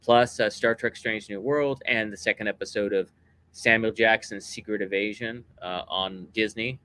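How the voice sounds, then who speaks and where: Bright, projecting male podcast host. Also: fairly low pitch, steady tone, normal speed, clear, resonant tone, dry recording. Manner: loud, projecting, upbeat. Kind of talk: casual review chat.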